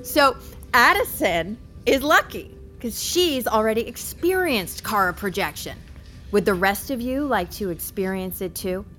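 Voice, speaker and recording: Perky company tour host, bright voice, super animated, enthusiastic, audio drama. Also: female voice, medium pitch, measured pace, soft background music. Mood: upbeat. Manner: perky, projected presenter delivery.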